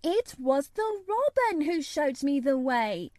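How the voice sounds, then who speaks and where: A woman's voice: high voice, sing-song, takes it slowly, darkish tone, no echo, clean studio sound, voice pushed up, heavily acted. A woman voicing an obstinate, indignant young girl who protests emphatically in a storybook.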